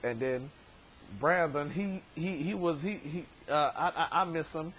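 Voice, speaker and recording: A man in his thirties, hoarse from shouting, hosting a casual vlog from his bedroom on a webcam, rambling with many stammered repeats. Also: fairly high pitch, measured pace, slight background noise. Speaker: show host. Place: bedroom, indoors. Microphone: webcam at desk distance.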